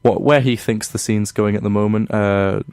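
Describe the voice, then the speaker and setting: Hesitant young radio host. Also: male voice, on the low side, steady tone, normal speed, darkish tone, clean tone. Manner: hesitant, lots of uh and sort of. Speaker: radio presenter and interviewer. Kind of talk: student radio presenting and interviewing.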